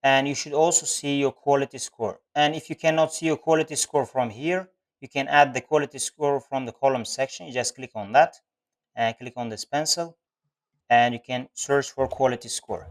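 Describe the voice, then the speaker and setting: A man's voice: medium pitch, fairly even intonation, normal speed. A man giving instructional narration for a screen-share tutorial, explaining things step by step as an online tutor.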